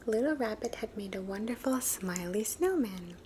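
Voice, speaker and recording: A woman reading children's stories aloud in a soft, unvoiced ASMR whisper. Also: mid-range voice, lively intonation, normal speed, a little hiss or hum. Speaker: storybook reader.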